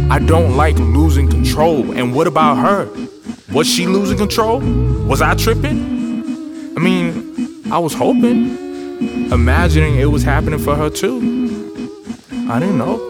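A man speaking a radio theater monologue as a young man guarded about falling in love, in an intimate, reflective spoken-word style. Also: on the high side. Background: loud music.

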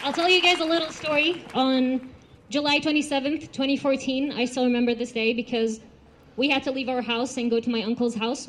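A young woman from Gaza speaking at an outdoor rally, telling personal stories earnestly with fillers and restarts. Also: on the high side. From Gaza, Palestine. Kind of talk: outdoor protest speech.

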